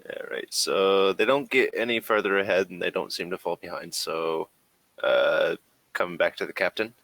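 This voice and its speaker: A man acting as game master in a tabletop role-playing game, talking casually and somewhat haltingly. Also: low-pitched, creaky, a bit bright, no echo.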